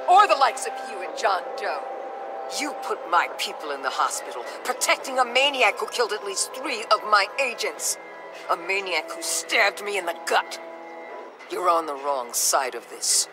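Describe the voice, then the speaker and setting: An actress playing a blunt, unyielding government agency boss in a video game, speaking with authority. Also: female voice, mid-range voice, sing-song, normal speed, music in the background, lightly acted. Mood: stern lecturing. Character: hard-bitten, commanding female government agency boss.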